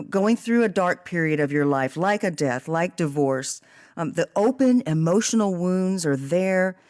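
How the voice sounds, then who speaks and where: A woman hosting a podcast, discussing a book in a reflective, expressive and emphatic way. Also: on the low side, dry recording, clean studio sound. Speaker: podcast host.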